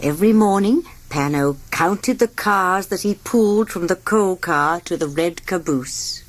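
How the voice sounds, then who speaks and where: A woman reading a children's read-along story aloud, expressive and sing-song, acting out the sound effects. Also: lowish voice, a little slow. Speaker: storybook narrator.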